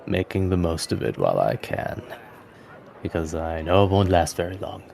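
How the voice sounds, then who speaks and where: A man's voice: sits low, sing-song, normal speed, vocal fry, darkish tone, slight background noise, exaggerated voice. A man playing a weary, guarded male adventurer in a tabletop game, speaking in a reticent, matter-of-fact way. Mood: guarded, serious. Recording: voice over prominent background music.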